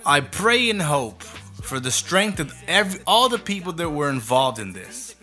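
A man's voice: a little high, big pitch swings, normal speed, bright, forceful tone, faint music behind. A man hosting a show, giving a blunt, profane, comedic rant in casual talk.

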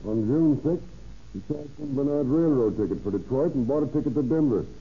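An actor playing an eager, insistent police detective, reporting in a clipped, emphatic way in old-time radio crime drama style. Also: male voice, medium pitch, lively intonation, a little fast, breathy edge. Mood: brisk reporting. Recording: tinny old radio broadcast recording.

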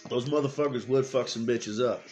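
A man co-hosting a casual podcast, cursing freely and ranting loudly in crude banter. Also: medium pitch, a little fast. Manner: profane, loud, animated rants. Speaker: podcast co-host.

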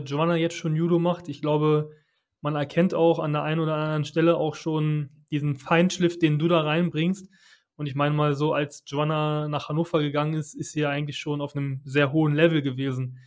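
A man chatting casually as co-host of a podcast interview. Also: on the high side. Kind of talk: casual chat.

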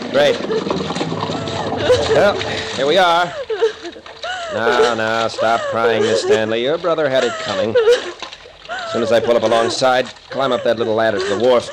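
An actor playing a confident, breezy, commanding captain-detective with an American accent in an old radio thriller. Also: male voice, mid-range voice, huge pitch swings, measured pace, pauses often, a loud crowd. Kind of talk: 1940s radio thriller acting. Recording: noisy old-time radio recording.

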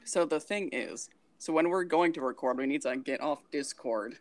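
A woman's voice: sits low, moderate pace. A woman hosting a podcast in casual, unscripted banter, giggly and prone to swearing. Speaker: podcast host.

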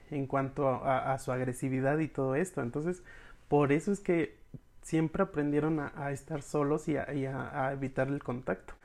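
A speaker with a Mexican accent giving an informal, expressive recap to an audience of friends, slangy and casually acting out bits of dialogue. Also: mid-range voice. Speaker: podcast reviewer recapping episodes for followers.

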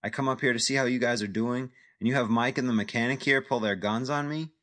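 A man's voice: medium pitch, steady tone, quick pace, a bit bright, pitched above the performer's own voice, lightly acted. A man voicing a brash, talkative male ex-actor in over his head.

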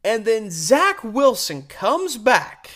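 A man's voice: sits high, very animated, slow, a bit bright. Emphatic male sports podcast host. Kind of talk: solo sports commentary, conversational.

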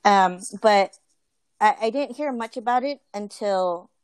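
A woman's voice: medium pitch, a little slow, clear, resonant tone, dry recording. A woman in a casual group conversation, talking earnestly with many fillers and restarts. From Filipino family.

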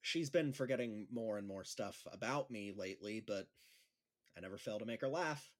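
A man's voice: fairly low pitch, normal speed, close to an everyday voice. A man playing an awkward, self-deprecating schoolteacher in a naturalistic audio drama, with a hesitant manner. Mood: awkward, hopeful. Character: awkward, self-deprecating male schoolteacher trying stand-up comedy.

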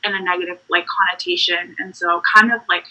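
Podcast guest: young woman from Puerto Rico, very bright tone, a bit husky, easygoing. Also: on the low side, steady tone, a little fast, poor audio. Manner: warm, laughing, filler-heavy. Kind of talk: casual faith chat.

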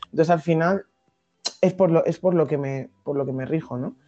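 A young woman from Madrid with a Madrid accent, a podcast guest explaining her ideas thoughtfully, with many pauses and restarts. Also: low-pitched, dark tone, highs cut off. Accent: Madrid Spanish. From Madrid, Spain. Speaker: guest activist. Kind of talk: podcast interview.

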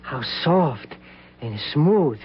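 A man acting in an old-time radio drama as a simple, childlike manservant with a Spanish accent, halting and repetitive, fearful and servile with a cruel streak. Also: a little high, expressive, slight background noise. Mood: dreamy, servile. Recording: dull old radio broadcast recording.

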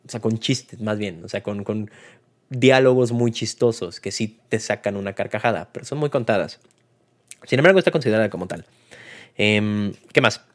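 A man's voice: fairly low pitch, moderate pace. A man with a Mexican accent gives a casual, unscripted film review in a conversational, colloquial way, like a host talking to listeners. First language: Spanish. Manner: conversational, colloquial, retells scenes with acted-out quotes.

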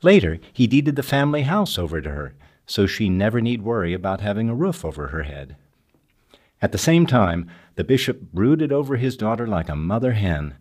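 A man's voice: fairly low pitch, darkish tone. A man narrating a scripted documentary as its narrator and interviewer.

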